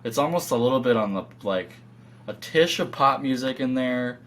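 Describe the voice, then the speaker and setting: A man's voice: mid-range voice, expressive, moderate pace, fairly bright tone. A man talking casually as a band musician being interviewed.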